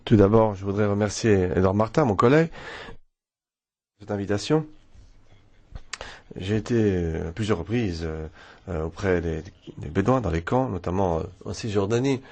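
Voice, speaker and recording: A man giving a formal prepared statement at a press conference, measured with occasional hesitations. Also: on the low side, a little mellow. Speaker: member of parliament, delegation speaker. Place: press room.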